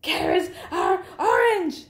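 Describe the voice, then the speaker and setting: A woman's voice: high-pitched, big pitch swings, drawn-out words, pitched above the performer's own voice, exaggerated voice. A woman voicing a hungry, picky little male bird in a storybook, complaining melodramatically. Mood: outraged, desperate.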